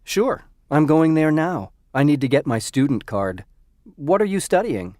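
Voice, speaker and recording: An actor playing a friendly young male university student in a scripted textbook dialogue, speaking clearly. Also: fairly high pitch, measured pace, dry recording, clean studio sound. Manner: lively, clearly enunciated. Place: recording studio.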